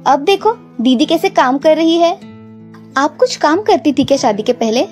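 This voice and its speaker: A woman's voice: high voice, lively intonation, moderate pace, a little mellow, soft background music. A woman voicing a bold, confident young news reporter in a cartoon, speaking firmly. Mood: encouraging. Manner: firm, confident. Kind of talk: cartoon voice acting.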